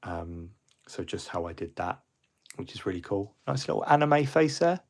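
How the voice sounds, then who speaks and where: A middle-aged man rambles through a casual livestream wrap-up, full of ums and false starts. His voice is husky and sits a little low. He sounds a bit fluey. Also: big pitch swings, normal speed. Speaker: hobby livestream host.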